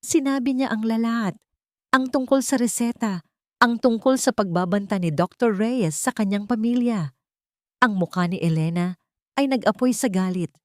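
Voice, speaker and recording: A woman's voice: a little high, moderate pace, dry recording, studio quality. A woman narrating a scripted dramatic story in an earnest, suspenseful storytelling manner. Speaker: audiobook narrator.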